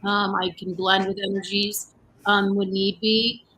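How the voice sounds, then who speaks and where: A woman's voice: flat delivery, unhurried, pushed and bright, rough recording. A woman speaking as a guest on a panel, talking loosely with many fillers and restarts about her healing work. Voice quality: voice says it has grown deeper. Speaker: panel guest, energy healer. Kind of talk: casual panel chat.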